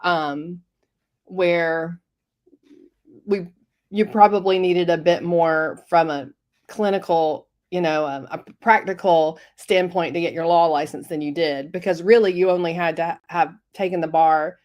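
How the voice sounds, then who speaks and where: A woman's voice: fairly low pitch, fairly even intonation, unhurried, a little mellow. Scratchy-voiced woman recovering from bronchitis. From Tennessee. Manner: hesitant, many fillers. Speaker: guest, health coach. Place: home office. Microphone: video call.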